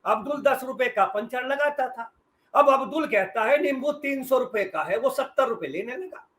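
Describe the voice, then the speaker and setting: A man's voice: very high voice, expressive, normal speed, fairly bright tone. A middle-aged man gives sarcastic, emphatic political commentary as a journalist on a debate panel. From western Uttar Pradesh, India.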